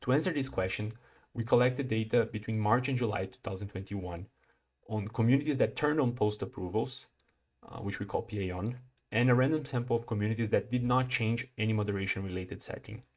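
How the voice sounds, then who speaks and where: A young man from Brazil, a PhD student, giving a prepared research talk in a measured, explanatory way with occasional restarts. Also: on the low side.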